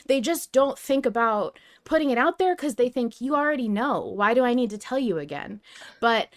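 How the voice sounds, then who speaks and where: A woman's voice: fairly high pitch, expressive, moderate pace. A woman co-hosting a podcast in a casual, reflective fan discussion, speaking emphatically and slipping into imagined quotes. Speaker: podcast co-host.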